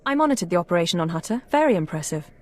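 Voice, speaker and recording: Cool female intelligence analyst, lower-pitched, precise, businesslike, video game briefing. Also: sing-song, very fast. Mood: crisp, aloof. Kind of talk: video game cutscene acting.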